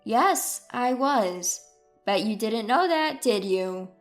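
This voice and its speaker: A mocking, cutting ex-wife from a dramatized story, acted by a woman narrator. Her voice is high and swoops with indignation. Faint music sits underneath. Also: animated, slow pace. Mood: smug. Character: scornful, newly independent ex-wife.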